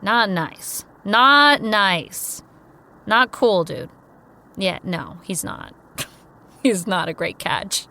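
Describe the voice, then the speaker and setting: An actress voicing Kara, a young woman on a road trip, talking in a brisk, teasing, upbeat way. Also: medium pitch, animated, creaky, pushed and bright, exaggerated voice. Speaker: lead character. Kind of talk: audio drama acting.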